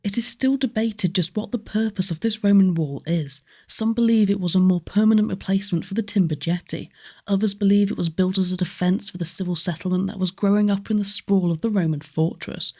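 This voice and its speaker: A woman's voice: medium pitch, fairly quick, dark tone. A woman presenting a history tour in scripted narration.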